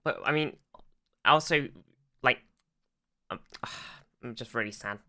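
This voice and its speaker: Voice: medium pitch, takes it slowly, pushed and bright. A podcast co-host chatting casually as an enthusiastic fan, hesitating and often repeating words and restarting sentences. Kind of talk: casual fan discussion of a TV show.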